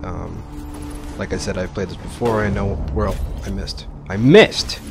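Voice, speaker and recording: A man's voice: low voice, normal speed, gravelly. A man giving casual, rambling and exclamatory commentary as host of a video game let's play. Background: game music and sound.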